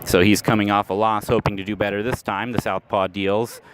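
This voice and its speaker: A man calling baseball play-by-play for radio, setting the action against stats in a steady, measured delivery, recorded live at an outdoor ballpark. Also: lowish voice. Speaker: play-by-play announcer. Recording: noisy outdoor ballpark ambience.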